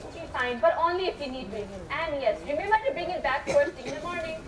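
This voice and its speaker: A woman's voice: high voice, lively intonation, fast talker, far from the mic, crowd noise. A young woman in an amateur stage play acting a patient but exasperated schoolteacher, speaking in an instructive way. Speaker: student actor in a school play. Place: echoey hall, distant microphone. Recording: echoey, noisy stage recording from afar.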